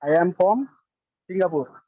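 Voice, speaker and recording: A Bangladeshi man with a Bangladeshi accent speaking fervently and haltingly as a brand ambassador on a webinar. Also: high-pitched, lively intonation, no echo. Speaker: brand ambassador giving testimonial. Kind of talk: halting, emphatic speech to a webinar. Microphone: online video call. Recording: dull lo-fi call audio.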